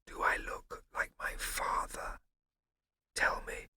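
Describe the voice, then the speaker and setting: A man's voice: mid-range voice, big pitch swings, brisk, noisy background, voice pushed up, natural voice. A man voicing a cocky teenage boy putting on a condescending grown-up tone. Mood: whispering. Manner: condescending, smooth. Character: cocky teenage boy imitating a haughty doctor. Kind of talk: audiobook character voice.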